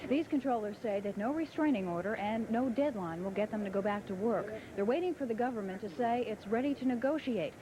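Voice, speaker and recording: A woman's voice: on the high side, a little fast, few pauses, background noise. A woman with an American accent reporting for television news on location. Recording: crowd behind, archival field TV audio.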